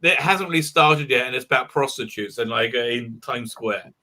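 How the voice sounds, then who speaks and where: A man's voice: mid-range voice, normal speed, sharp tone. A man hosting a casual livestream call, rambling through chat messages with lots of fillers and restarts. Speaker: livestream host.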